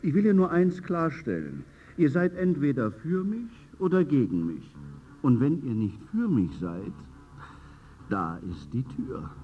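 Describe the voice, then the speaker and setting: Blunt club boss, swinging pitch. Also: male voice, very animated, a little slow, lo-fi sound, soft background music. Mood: stern, threatening. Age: middle-aged. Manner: blunt, commanding. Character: hard-nosed, blunt male club chairman, forty-five. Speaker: actor in a radio play. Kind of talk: radio drama dialogue.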